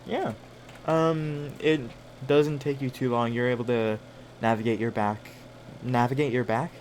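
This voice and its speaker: Slow-paced male game master, noisy background. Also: mid-range voice, fairly even intonation. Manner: halting, searching for words. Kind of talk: improvised tabletop game narration.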